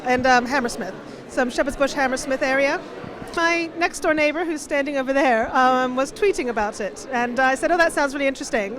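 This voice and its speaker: A woman visiting an open day, chatting casually and hesitantly about why she came. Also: high voice. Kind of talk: casual interview.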